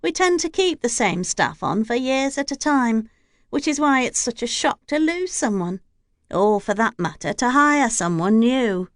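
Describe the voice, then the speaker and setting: A woman's voice: on the high side, very animated, measured pace, no echo, clean studio sound, pitched above the performer's own voice. A woman voicing an older housekeeper who is formal and deferential to her lord. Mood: earnest. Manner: deferential to the lord, formal and old-fashioned speech. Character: older female housekeeper, devoted, stiff with outsiders.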